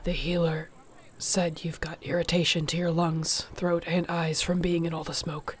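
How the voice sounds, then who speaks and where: A woman playing a protective, tender orc warrior girlfriend in an intimate audio roleplay, speaking in a soft, earnest way. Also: low-pitched, flat delivery, moderate pace, lightly acted. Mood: tender, relieved. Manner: soft, earnest, soothing, partly whispered.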